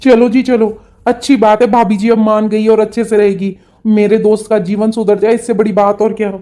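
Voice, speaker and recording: A woman's voice: medium pitch, level tone, a little fast, warm tone, lightly acted. A woman playing the men of an Indian family in a cartoon, plain-spoken and admonishing. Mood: earnest, approving.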